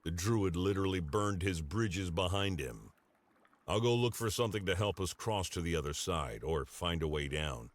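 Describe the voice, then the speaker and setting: A man's voice: low-pitched, normal speed. An actor playing a grave, devoted father and former werewolf commander in a video game, with an earnest, dramatic delivery. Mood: frustrated, practical. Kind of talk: scripted video game character dialogue.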